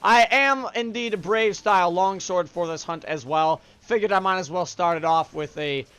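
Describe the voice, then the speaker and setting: A man's voice: high-pitched, moderate pace, smooth, no echo. Chatty young man with a stuffy nose. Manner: upbeat, chatty, shout-outs with many fillers. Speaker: gaming channel host. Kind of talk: casual let's-play commentary.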